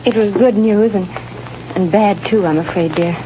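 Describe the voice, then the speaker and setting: An actress playing a gentle, worried wife and mother, hesitant, in 1930s radio drama style. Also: medium pitch, moderate pace, close to an everyday voice. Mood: anxious, hesitant. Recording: muffled, noisy old radio recording.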